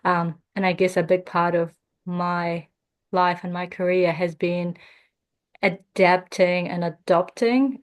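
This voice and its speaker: A young woman from Jordan, speaking as a podcast guest in a thoughtful, measured way with frequent pauses. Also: lowish voice. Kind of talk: reflective podcast conversation.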